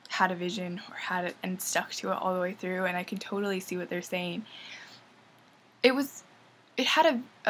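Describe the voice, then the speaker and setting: Husky young woman rambling about films. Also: mid-range voice, a bit bright. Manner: rambling, enthusiastic, filler-heavy. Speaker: vlogger reviewing films. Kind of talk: casual movie review vlog.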